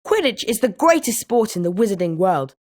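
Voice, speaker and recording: Woman doing a keen sporty wizard school kid, bouncy varied pitch, game dialogue. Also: very animated, a little fast, a little mellow, lightly acted. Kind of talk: scripted video game voice lines.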